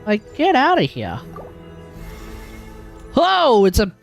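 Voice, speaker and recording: An Australian man doing casual livestream commentary, blunt and slangy, rambling as he thinks out loud with emphatic turns. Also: extremely high pitch, huge pitch swings, slow pace, gravelly. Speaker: livestreamer, gaming content creator. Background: music in the background.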